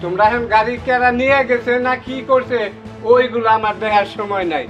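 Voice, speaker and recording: A stern creditor, played by a man, laying down demands in blunt, threatening words. His voice sits very high. Light music plays underneath. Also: fairly quick, a bit bright, highs cut off. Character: stern village moneylender owed for an auto. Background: background music.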